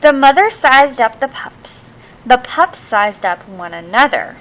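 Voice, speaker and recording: A woman reads a children's storybook in a high, bright voice. Her pitch swings widely as she leans theatrically on key words, drawing them out for young listeners. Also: sing-song, a little slow, slight background noise, rough recording. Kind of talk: expressive children's storybook read-aloud.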